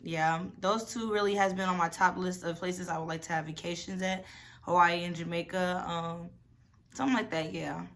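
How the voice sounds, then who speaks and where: A woman's voice: on the low side, fairly even intonation, normal speed, a bit bright. A woman of twenty-one, chatty and rambling, answering questions in a casual vlog. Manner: chatty, rambling, lots of "y'all" and "like". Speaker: vlogger.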